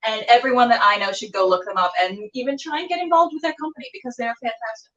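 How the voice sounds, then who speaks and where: A woman with a high voice hosts a casual online show. She is effusive and gushing as she chats and reads out announcements. The voice sits at a distance from the microphone. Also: fast, a bit bright, muffled recording. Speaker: show host. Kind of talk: casual livestream chat and announcements.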